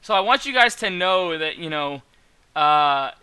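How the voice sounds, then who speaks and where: A man in his mid-twenties with a slightly stuffy, nasal voice talks over a headset mic in a small room. He rambles and repeats himself, piling on emphatic asides. His voice is clear, quite bright and a little high. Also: lively intonation, measured pace. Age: 20s. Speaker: day trader streamer. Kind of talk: casual market commentary.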